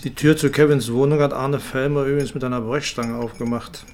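Radio drama casting: actor as male detective colleague, sober, factual, natural mid-pitched voice. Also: fairly even intonation, a little fast, a little mellow, close to an everyday voice. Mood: matter-of-fact. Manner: matter-of-fact.

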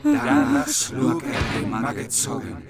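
A man's voice: very high voice, huge pitch swings, slow pace, creaky, loud music behind the voice. A man reciting medieval verses in a lilting, half-sung manner for a radio play. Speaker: reader of Middle High German verses. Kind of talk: chanted recitation of old verse.

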